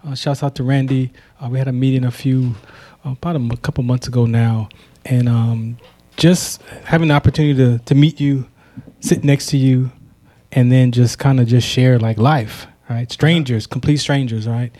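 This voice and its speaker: A man hosting a radio interview show, giving shout-outs and chatting casually with his guest. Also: medium pitch. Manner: warm shout-outs, quick excited reactions. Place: radio station studio.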